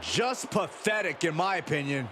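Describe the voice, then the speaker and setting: A man's voice: on the high side, animated, normal speed. A man playing an indignant, disapproving wrestling commentator in a video game. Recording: loud arena noise, slams.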